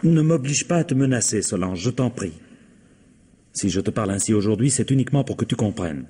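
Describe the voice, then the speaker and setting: An actor playing a weary, firm husband in old French radio drama style, measured and reproachful. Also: male voice, lowish voice, expressive, warm tone, husky, in a large hall. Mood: exasperated, firm. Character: exasperated, firm husband, weary of his wife's suspicions.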